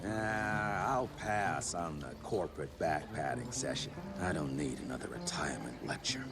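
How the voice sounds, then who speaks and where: A man's voice: low-pitched, big pitch swings, normal speed, vocal fry, full character voice. An actor playing a grizzled older male monster hunter with a dry, sardonic manner. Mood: wry.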